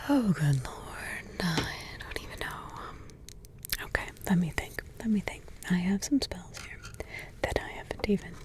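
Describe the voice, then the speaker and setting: A woman in an ASMR roleplay voicing a centuries-old witch mother who softly whispers, slowly ponders and hums to herself between thoughts. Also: on the low side, animated. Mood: musing. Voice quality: soft whisper throughout.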